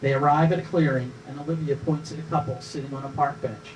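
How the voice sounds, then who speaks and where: A man's voice: a little high, fairly even intonation, fast, a little mellow. A man reading scripted stage directions as narrator at a live table read, recorded from a distance with some noise.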